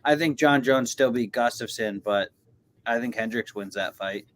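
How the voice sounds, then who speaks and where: A man co-hosting a casual watch-along, calling the fight's action in a relaxed way and chuckling as he goes. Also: medium pitch, normal speed. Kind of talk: casual play-by-play sports chat.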